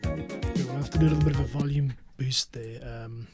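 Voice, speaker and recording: A man's voice: mid-range voice, steady tone, brisk. A man hosting and editing a podcast, thinking aloud in casual commentary that drops into muttered asides. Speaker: podcast host and editor. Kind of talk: casual screen-recording commentary. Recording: faint music and keyboard typing behind. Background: faint music, computer keyboard typing.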